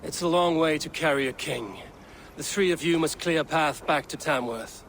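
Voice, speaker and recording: An actor playing a stern, dry-witted Viking warrior in a video game, speaking in a measured, deadpan, commanding way. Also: male voice, fairly high pitch, a little hiss or hum. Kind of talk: video game cutscene acting.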